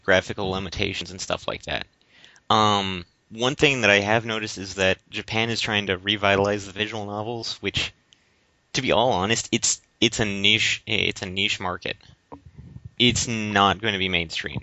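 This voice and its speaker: A low male voice with a tense, forceful edge, recorded dry and lo-fi. He chats casually as a podcast co-host, often groping for the right word and repeating himself. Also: moderate pace, dry recording. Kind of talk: casual discussion of video games. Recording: dry but dull lo-fi recording.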